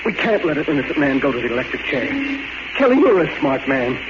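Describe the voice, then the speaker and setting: A man's voice: medium pitch, very animated, quick pace, natural voice. An actor playing a desperate middle-aged lawyer and anguished father, pleading emphatically in an overwrought 1940s radio drama style. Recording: noisy, dull 1940s radio recording.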